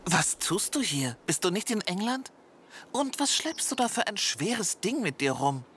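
A woman's voice: mid-range voice, very animated, a little slow, a bit bright, a little hiss or hum. An actress playing a motherly, helpful woman in a radio drama. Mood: surprised. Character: surprised, helpful French woman, motherly acquaintance.

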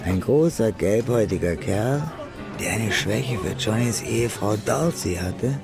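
A man narrating a crime radio play in a measured, scripted way, with a gravelly tone. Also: fairly low pitch, expressive, over music.

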